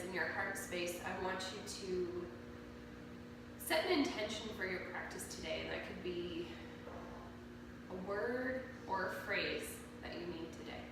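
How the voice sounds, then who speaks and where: A woman with a cold and a slightly nasal voice, guiding a yoga class with calm, slow cues for poses and breathing. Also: medium pitch. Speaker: yoga instructor. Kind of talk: guided yoga class instruction. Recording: echoey room, mic at a distance, audible noise.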